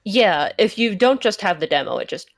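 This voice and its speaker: A woman's voice: medium pitch, sing-song, normal speed, creaky. A woman chatting casually and giving commentary as a guest on a game stream. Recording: music behind the voice.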